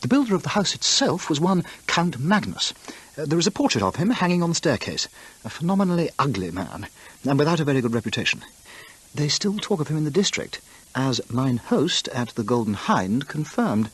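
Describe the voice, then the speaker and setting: An actor playing an inquisitive travel writer and amateur antiquarian, genteel, precise and chatty, in a radio drama. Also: male voice, on the high side, very animated, a little fast, breathy edge, low-quality recording. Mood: chatty, enthusiastic. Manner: genteel, precise, musing to himself. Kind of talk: radio drama acting and narrated journal.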